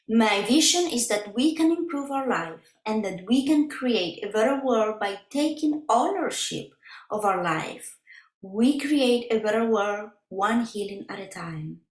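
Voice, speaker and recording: A woman whose first language is Spanish talks through her website at a very unhurried pace, her middle-pitched voice halting on fillers and restarts. The recording carries a noticeable roomy echo from a computer mic. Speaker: crystal healer presenting her website. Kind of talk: screen-share walkthrough.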